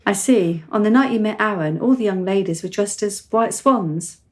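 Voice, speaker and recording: A woman acts a precocious teenager in a radio play. The girl is self-possessed and articulate, stating things plainly and calmly, like someone older than her years. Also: medium pitch, normal speed, lightly acted. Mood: probing, cool. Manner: composed, articulate, matter-of-fact.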